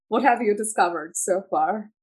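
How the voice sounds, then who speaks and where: A woman's voice: mid-range voice, normal speed, husky. A woman hosting a podcast, asking plain, polite interview questions. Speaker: podcast host.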